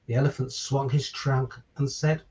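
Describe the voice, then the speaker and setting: A man's voice: medium pitch, level tone, moderate pace, darkish tone, recorded from a distance. A man reading a children's picture book aloud, with chatty asides and questions to his listeners. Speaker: stand-in children's story reader. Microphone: virtual call, away from the microphone.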